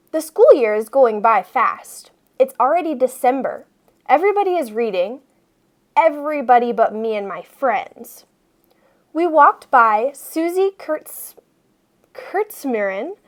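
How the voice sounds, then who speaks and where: A woman reading a children's storybook aloud with expressive, emphatic narration. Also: high voice. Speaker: storybook reader.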